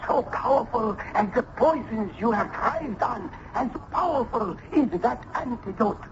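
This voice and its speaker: A fanatical scientist father who boasts like a god, declaiming at a slow, weighty pace. He is an older radio-drama villain with grand, theatrical delivery. The old broadcast sound is dull, with some background noise. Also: extremely high pitch, expressive, full character voice. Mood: alarmed warning.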